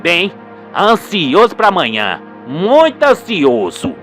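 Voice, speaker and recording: An older country father, acted out by a man, full of scorn and out for payback. He speaks slowly in emphatic, folksy turns of phrase in a radio soap, with music underneath. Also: high voice, huge pitch swings, fairly bright tone, exaggerated voice. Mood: scornful, determined. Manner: folksy, emphatic rustic speech. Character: older rural father, indignant, vengeful, colloquial.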